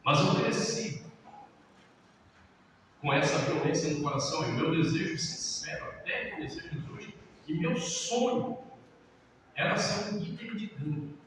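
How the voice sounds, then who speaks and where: Middle-aged man, pastor, lively storyteller; distant mic in a reverberant hall with noise behind. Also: fairly high pitch, measured pace, long pauses. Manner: lively storyteller, acts out quotes, laughs. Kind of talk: sermon-style talk with personal stories. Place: large church hall.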